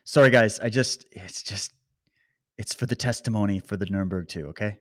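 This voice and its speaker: A man hosting a political commentary stream, talking straight to his viewers with animated sarcasm. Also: fairly low pitch, a little fast. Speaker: commentary host.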